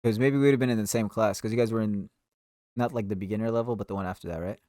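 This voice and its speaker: A man's voice: on the low side, steady tone, fast talker, dark tone, no echo, studio quality. A young man co-hosting a podcast, asking questions in a curious, easygoing way and often laughing along. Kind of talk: casual chat.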